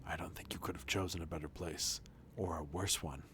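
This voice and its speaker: Man doing a low, hushed, brooding healer. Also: steady tone, background noise, natural voice. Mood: subdued, wry. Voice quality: hushed, breathy. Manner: low, measured, understated. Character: soft-spoken, brooding male healer, grave and determined. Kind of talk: video game dialogue read aloud.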